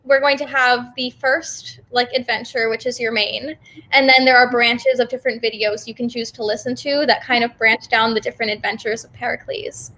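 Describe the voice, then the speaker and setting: High, bright-voiced woman guest. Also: fairly even intonation, normal speed, low-quality recording. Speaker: guest from a theater company. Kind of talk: casual chat with halting pauses.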